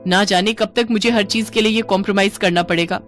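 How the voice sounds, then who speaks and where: A woman's voice: mid-range voice, steady tone, quick pace, faint music behind, close to an everyday voice. A woman voicing an irritable, complaining young newlywed in a cartoon family story, exasperated and argumentative. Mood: annoyed. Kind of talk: animated Hindi family story dialogue.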